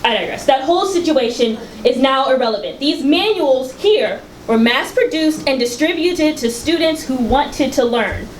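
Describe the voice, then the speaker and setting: Woman acting prim, high-voiced future researcher, echoey room. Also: animated, normal speed, few pauses, distant mic. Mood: formal, instructive. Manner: precise, formal, didactic. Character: prim, rule-abiding female researcher of a far-future utopia, anxious. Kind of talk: staged reading of a play. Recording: echoey, noisy live room recording.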